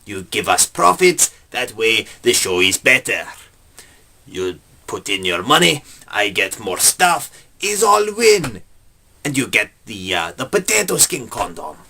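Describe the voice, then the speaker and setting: A man voicing a pushy sponsor pitchman who speaks in broken English. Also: big pitch swings, measured pace, bright, forceful tone, slightly rough, heavily acted. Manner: blunt, salesy.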